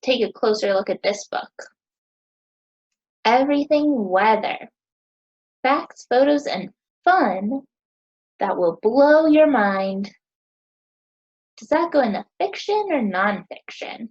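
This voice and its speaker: An American woman in her thirties teaching young children, asking questions in a sing-song, encouraging way with deliberate pauses. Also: fairly high pitch, expressive, darkish tone, husky, highs cut off. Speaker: teacher hosting a children's story time.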